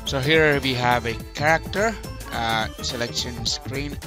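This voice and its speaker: A young man hosting a casual video game walkthrough, talking in a halting way over game music. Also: medium pitch. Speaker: gameplay video host.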